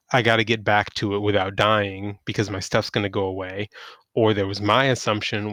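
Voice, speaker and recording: A man hosting a podcast, chatting casually about games in a halting, conversational storytelling way. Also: fairly low pitch, fairly bright tone, no echo. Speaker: podcast host. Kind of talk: casual gaming chat.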